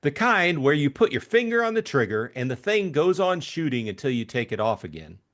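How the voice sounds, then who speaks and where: A breezy young gentleman adventurer in a classic mystery audiobook, voiced by a man. He is chummy, jaunty and slangy, with very animated pitch. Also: brisk. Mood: brisk, jaunty.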